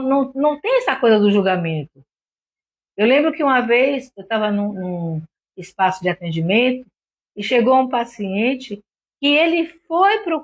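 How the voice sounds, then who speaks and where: A slightly hoarse woman with a Brazilian accent, a doctor hosting an informal live stream, explaining things didactically with frequent check-in tags. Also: a little high. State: a little hoarse. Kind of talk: informal explanatory talk to online viewers.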